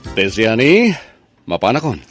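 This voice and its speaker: Man playing doting, animated Ilocano fiancé. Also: on the low side, a little slow, loud music behind the voice. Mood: tender. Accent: Ilocano Filipino. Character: doting, generous fiancé providing for his sweetheart. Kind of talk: radio drama acting.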